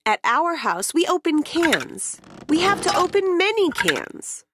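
A woman narrating a rhyming children's picture book in an expressive, deliberate storytelling way. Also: extremely high pitch, noisy background.

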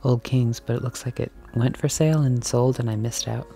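Woman vlogger, mellow tone, chatty shop walk-through, faint music underneath. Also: extremely low pitch, fairly even intonation, a little fast, creaky. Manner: chatty, musing aloud, drifting into soft whispers. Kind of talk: casual walk-and-talk commentary. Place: large indoor antique and thrift shop. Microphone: handheld camera mic, filming as she walks.

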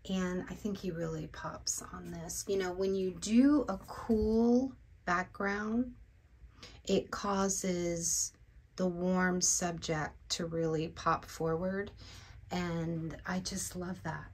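Slow, low-voiced woman vlogging casually. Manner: relaxed, rambling self-talk. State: groggy morning voice. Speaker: artist vlogger. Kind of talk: casual studio vlog. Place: small home art studio, indoors.

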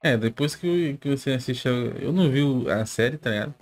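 A man's voice: medium pitch, brisk, faint music behind. A young man with a Brazilian accent giving casual, slangy reaction commentary with chuckling asides, close to a desk mic. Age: young adult. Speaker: reaction video host. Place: small indoor gaming setup. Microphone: close desk mic with headphones.

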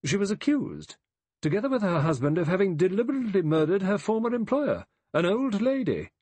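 A man's voice: sits high, sing-song, a little fast, darkish tone, husky, dry recording, voice pushed up, exaggerated voice. A man voicing a stern, self-righteous, pious spinster in an audiobook, with hard, emphatic pronouncements. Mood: accusing.

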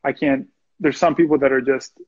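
Flat-voiced young man on a video call. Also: mid-range voice, brisk, breathy edge, highs cut off. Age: young adult. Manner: earnest, reflective. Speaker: musician guest. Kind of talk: casual video-call interview. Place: small room indoors. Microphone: video call, earbuds.

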